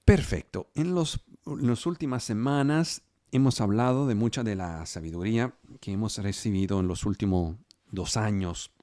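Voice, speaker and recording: A man whose first language is not Spanish, teaching scripture slowly and deliberately, drawing out key numbers. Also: lowish voice, mellow. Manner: slow and deliberate, stretching key numbers, with restarts. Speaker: preacher. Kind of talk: Bible teaching.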